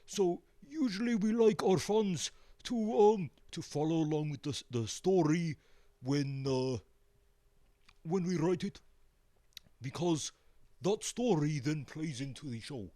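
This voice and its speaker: A man answering casually as a musician being interviewed, hesitant and pausing often. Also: on the high side, lively intonation, dark tone, no room sound. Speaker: band member, interview guest.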